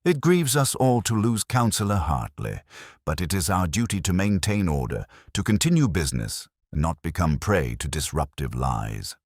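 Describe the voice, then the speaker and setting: A man's voice: deep voice, big pitch swings, moderate pace, no echo, clean studio sound, exaggerated voice. A man voicing a ponderous small-town mayor making a solemn, formal speech in a detective story.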